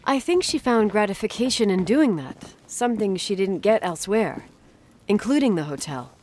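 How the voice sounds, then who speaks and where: A woman's voice: medium pitch, expressive, normal speed, a little mellow, a little hiss or hum, close to an everyday voice. A woman playing a wry, guarded character in a game, speaking in a hesitant, dryly sarcastic, naturalistic conversational way. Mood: reflective, wry. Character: wry, guarded adult woman recalling her childhood.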